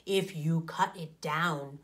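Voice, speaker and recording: Storybook jaguar played by a woman: male, menacing, low slow growl. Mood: stern, menacing.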